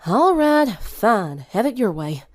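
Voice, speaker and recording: A woman's voice: on the high side, sing-song, takes it slowly, voice pushed up. A woman voicing a blunt, stubborn, no-nonsense teenage girl in a story reading. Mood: blunt, exasperated.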